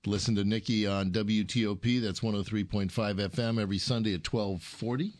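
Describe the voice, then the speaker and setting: A man's voice: fairly low pitch, steady tone, a little fast, darkish tone. Jokey male radio co-host. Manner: jokey, self-deprecating. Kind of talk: casual talk radio chat.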